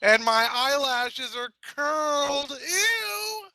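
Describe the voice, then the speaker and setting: A man's voice: extremely high pitch, drawn-out words, creaky, sharp tone, voice pushed up, full character voice. A man voicing a brash, tomboyish young mare who is panicking and stammering in slang. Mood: whiny.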